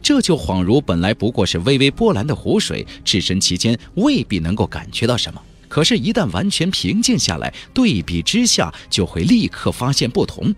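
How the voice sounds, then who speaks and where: A man's voice: medium pitch, wildly swooping pitch, normal speed, hardly any pauses, faint music behind. A man narrating a fantasy novel as a dramatic scripted audiobook.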